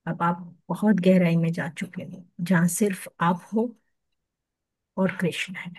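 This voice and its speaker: A woman with an Indian accent leading a guided meditation, soothing and hushed at the ends of phrases, leaving long pauses. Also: fairly low pitch, fairly even intonation, dry recording.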